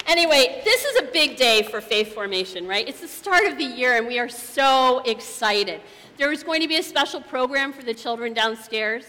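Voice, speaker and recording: A woman's voice: fairly high pitch, bright, forceful tone. A woman who leads a church's faith formation program, addressing the congregation through a microphone with enthusiastic, thankful, rhythmic lists. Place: church sanctuary. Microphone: handheld or stand microphone. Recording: reverberant church hall, faint hiss.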